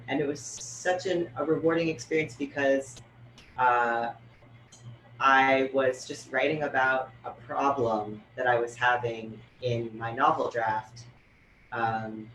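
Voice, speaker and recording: A man with a mid-range voice who speaks very slowly and thoughtfully, filling pauses as he searches for words. He sounds as if he is on a video call in an echoey room, with a faint hum behind him. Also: medium pitch, a bit bright. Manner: thoughtful, loose, many fillers. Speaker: writer and fellow in a panel conversation. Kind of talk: reflective casual conversation.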